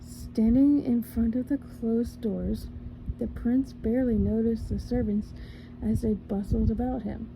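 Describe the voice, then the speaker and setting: Soft-spoken woman reading slowly. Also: fairly high pitch, fairly even intonation, vocal fry, slight background noise, rough recording. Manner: slow, careful reading with small slips and self-corrections; drops to a whisper at times. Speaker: ASMR reader. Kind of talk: soft ASMR storybook reading.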